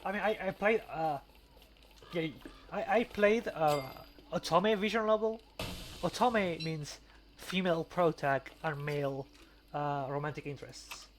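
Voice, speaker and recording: A man's voice: fairly high pitch, a little slow. A man chatting casually as a podcast host, rambling and stammering with repeated words. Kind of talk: casual chat over gameplay. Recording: clearly noisy background.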